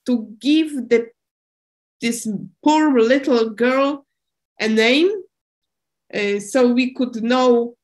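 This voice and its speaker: A woman historian speaking English as a with many pauses, fillers and restarts, describing her archival work on a panel. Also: fairly high pitch. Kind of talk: panel discussion answer.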